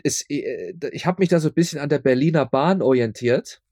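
A man's voice: medium pitch, expressive, brisk, a little mellow, breathy edge, no room sound, clean studio sound. A man speaking as a guest in a casual interview, rambling and often repeating his words as he explains his audio drama work.

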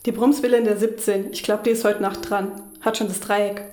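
An actress playing a casual, blunt, gossipy care-home worker in a radio crime play. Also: female voice, medium pitch, fairly even intonation, brisk, roomy sound, heavy background noise, natural voice. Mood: chatty, brisk.

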